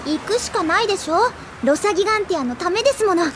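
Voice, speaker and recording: A woman playing a headstrong, impetuous high school girl in an anime audio drama, assertive and quick to pout. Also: lively intonation, noisy background. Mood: determined. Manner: assertive, pouty, girlish.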